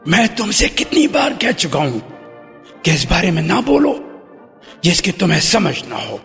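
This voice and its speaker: An irritated army vet in an old radio drama, stern and reproachful. His higher-set voice swings in pitch with a gravelly edge, in a reverberant hall with music. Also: huge pitch swings, moderate pace, fairly bright tone, exaggerated voice. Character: irritated army veterinarian scolding his lover.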